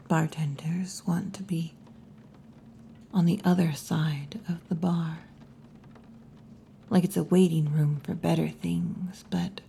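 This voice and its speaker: Hushed, low-voiced wolf girl bartender, acted by a woman. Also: slight background noise. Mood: relaxed, warm. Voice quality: breathy, mostly whispered or half-whispered. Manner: slow, hushed, teasing, with long pauses. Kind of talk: ASMR roleplay, intimate scripted monologue. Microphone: close ASMR microphone.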